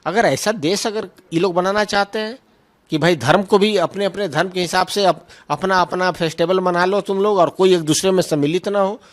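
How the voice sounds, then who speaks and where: Higher-pitched man, emphatic political spokesman. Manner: argumentative, emphatic, repetitive phrasing, peppered with English terms. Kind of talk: political press remarks, answering questions.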